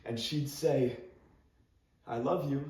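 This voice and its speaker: A man's voice: mid-range voice, takes it slowly, a little mellow. A young man playing a stammering, nervous male teacher. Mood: tender. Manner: stammers, repeats words, nervous laughs. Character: awkward stammering male English teacher, grieving. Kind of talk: competitive dramatic interpretation monologue. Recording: roomy sound from a distant camera.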